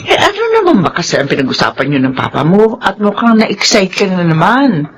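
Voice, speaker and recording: A woman's voice: lowish voice, big pitch swings, brisk, a little hiss or hum. An actress playing a loving, worried mother in a Filipino radio drama, chiding and tender by turns, with a Filipino accent. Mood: playful scolding. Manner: chiding, tender, sighing exclamations. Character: loving, worried mother hiding a painful secret.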